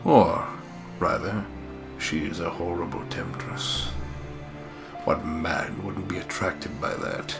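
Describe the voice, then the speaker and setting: A man reading the inner monologue of a weary, exasperated married businessman in a measured, brooding way. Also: low voice, gravelly, over music, heavily acted. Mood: torn, wry. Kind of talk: dramatic visual novel reading.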